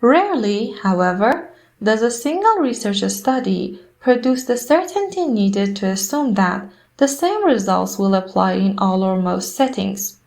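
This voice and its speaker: A woman's voice: mid-range voice, very animated, unhurried, few pauses, a little mellow. Woman reading practice passages aloud. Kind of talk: scripted read-aloud of exam passages.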